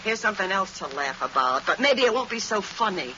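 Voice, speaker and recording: A woman playing a bitter, defiant gangster's moll, forceful and slangy, in an old-time radio crime drama. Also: medium pitch, sing-song, brisk. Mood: defiant threatening. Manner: slangy, forceful accusing talk. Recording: noisy, muffled vintage radio recording.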